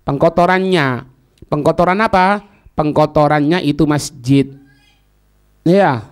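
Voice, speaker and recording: High-pitched, slow-paced Javanese male religious teacher. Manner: didactic, repeats phrases, asks checking questions. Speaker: Islamic teacher. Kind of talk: religious lesson to students.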